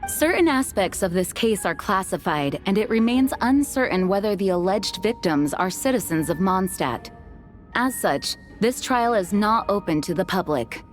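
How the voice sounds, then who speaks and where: A woman's voice: mid-range voice, expressive, natural voice. Woman playing a composed, formal knight commander. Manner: formal, measured, authoritative. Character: composed, dutiful young woman knight commander presiding at trial. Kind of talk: scripted game cutscene acting. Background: soft background music.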